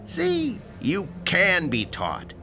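A man's voice: high-pitched, huge pitch swings, very slow, a little hiss or hum, full character voice. An actor playing a pushy, sarcastic older landlord in a radio drama, lecturing his tenant about rent. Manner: sarcastic, lecturing, theatrical swings from shouting to hushed.

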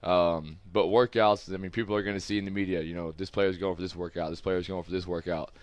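A man's voice: sits low, steady tone, fast, dry recording. A man in his twenties from the United States, a football player talking candidly and easily as a guest in a studio. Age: 20s. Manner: candid, easygoing, loose and rambling. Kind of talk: casual interview talk. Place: studio room with several people.

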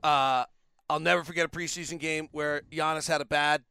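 Bright, crisp-voiced man hosting sports talk. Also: a little high, steady tone, a little fast, dry recording, clean studio sound. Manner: opinionated, rambling storytelling. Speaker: podcast host. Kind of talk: casual sports talk interview.

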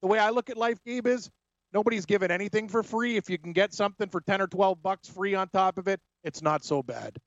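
A man's voice: high-pitched, brisk, no room sound, highs cut off. A man co-hosting a sports talk show, giving strong opinions in casual chat. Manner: emphatic, opinionated.

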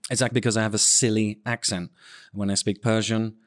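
A man's voice: lowish voice, little pitch movement, brisk. A man hosting a podcast in casual conversation, joking and poking fun at himself. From United Kingdom. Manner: jokey, self-deprecating. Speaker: podcast host.

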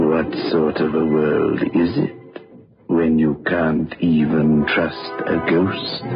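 An actor playing a wry, macabre horror-show host, sardonic and mock-dismayed, in old-time radio style. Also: male voice, very deep voice, gravelly, heavily acted. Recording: dull old radio recording with music.

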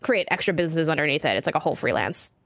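A woman's voice: low-pitched, lively intonation, racing, creaky, dry recording. Young female co-host fighting a cold, chatty and self-mocking, dry mic. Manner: rambling, self-deprecating, lists ideas. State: getting sick, voice a bit rough. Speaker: podcast co-host. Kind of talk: casual chat.

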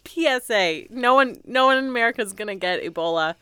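Bright, crisp woman in playful casual chat. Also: medium pitch, animated, fast, no room sound. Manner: teasing, playful. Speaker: podcast co-host discussing art.

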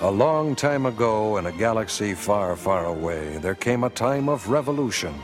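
A man narrating a radio drama opening in a grave, measured way. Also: on the low side, lively intonation. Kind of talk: scripted narration. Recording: distant narration over loud music.

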